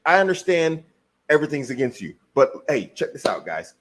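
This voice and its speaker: A man's voice: a little high, big pitch swings, fairly quick. A man from the United States, a guest on a podcast, talking emphatically and throwing in rhetorical questions. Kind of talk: motivational podcast talk. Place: lives in Germany.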